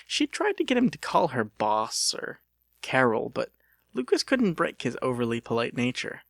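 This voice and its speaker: A man narrating an audiobook as a dramatic reading, with theatrical, expressive storytelling. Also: medium pitch, moderate pace, gravelly, dry recording, studio quality.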